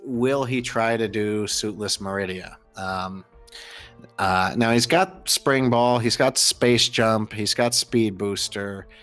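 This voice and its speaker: A man's voice: fairly low pitch, slow, fairly bright tone, soft background music. An American man commentating and interviewing on a live gaming race in a casual, chatty, jokey way. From United States. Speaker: host and commentator.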